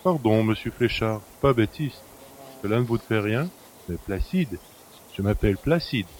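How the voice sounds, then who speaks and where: A man's voice: on the low side, lively intonation, measured pace, darkish tone, slight background noise, rough recording. A man playing a cheeky, familiar manservant in an audio drama, chatty and mocking. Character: cheeky, familiar manservant who helps himself to master's luxuries. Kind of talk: French audio drama acting.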